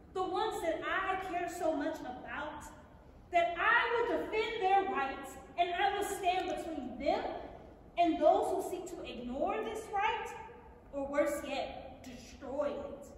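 Very high, emphatic librarian played by a woman. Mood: resolute. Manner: emphatic, deliberate. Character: earnest, resolute school librarian defending freedom to read. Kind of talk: theatrical monologue, staged reading. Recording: echoey theatre hall, distant, faint noise.

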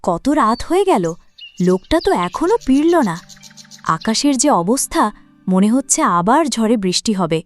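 Woman as a high-voiced nagging cartoon mother. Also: big pitch swings, moderate pace, soft background music. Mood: worried. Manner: nagging, exasperated. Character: stern, scolding village mother, adult, worried about studies. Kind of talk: cartoon dialogue acting.

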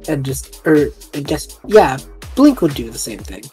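A man narrating his character's actions as a tabletop game player, informal, halting and animated. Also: fairly high pitch, soft background music. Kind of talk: casual improvised play narration.

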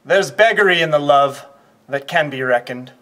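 A man performing an impassioned Roman statesman in a declamatory, theatrical Shakespearean monologue, with a husky voice. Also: fairly high pitch, moderate pace, close to an everyday voice. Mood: grave, restrained. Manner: declamatory, swinging from whispers to shouts. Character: impassioned Roman statesman orating grief and war.

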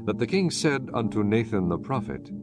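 A man narrating scripture from a script in a formal, measured manner. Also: on the low side, sing-song, music in the background.

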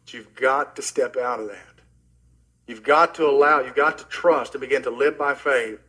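A middle-aged man preaching a sermon as pastor, earnest and personal, often dropping soft and low at the ends of phrases. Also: moderate pace, slightly rough. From Oklahoma, US.

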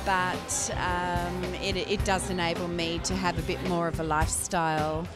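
A woman in her forties, a small business owner and mother, answering a vox pop question in a measured, reflective way. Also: fairly low pitch, level tone. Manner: measured, reflective, frequent ums. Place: indoor function room. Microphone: handheld interviewer mic. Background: background music.

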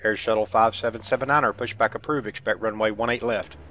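A man's voice: fairly low pitch, quick pace. A man streaming a game, playing air traffic controller with procedural calls and offhand commentary. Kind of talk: gameplay commentary and radio-style controller calls. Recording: steady background noise from game ambience.

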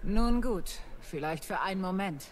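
A woman's voice: mid-range voice, expressive, a little slow, a little hiss or hum, lightly acted. An actress playing a composed, serious heroic woman commander in a video game. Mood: polite, composed.

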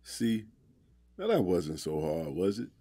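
An actor playing a big, smooth, attentive young man on a date in an audio drama, with a husky voice and an American accent. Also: deep voice, wildly swooping pitch, slow, warm tone, faint music behind, heavily acted. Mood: smooth, teasing.

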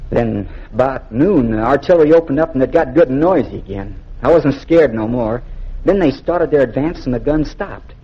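An actor playing an earnest, humble young Union soldier with an American accent and folksy, hesitant speech in a 1940s-style radio drama. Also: male voice, medium pitch, animated, moderate pace, breathy edge. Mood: haunted, confiding. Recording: muffled old 1940s radio recording.

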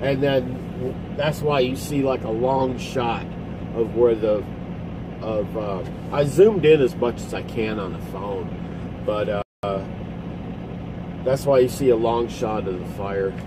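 A middle-aged man, a truck driver, talking casually to his phone camera from the cab of his parked truck, rambling and halting as he explains. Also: medium pitch. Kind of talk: casual vlog commentary. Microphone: phone camera at arm's length. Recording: phone recording in cab with engine noise.

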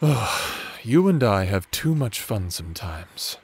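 A man's voice: lowish voice, big pitch swings, slow pace, breathy edge, heavily acted. A man playing an affectionate, playfully wicked necromancer husband in a theatrical, tender ASMR roleplay. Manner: tender, teasing, theatrical, often chuckling. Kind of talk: ASMR romantic roleplay audio drama.

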